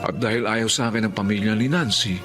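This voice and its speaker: A man's voice: fairly low pitch, quick pace, close to an everyday voice. An actor playing a hard-up young father who washes cars, in a Filipino radio drama. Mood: regretful. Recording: loud music bed under the voice.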